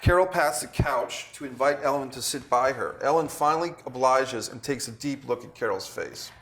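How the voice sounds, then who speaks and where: A man leading a table read as director, giving casual instructions and reading stage directions in a halting way. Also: medium pitch, fairly bright tone, slightly rough.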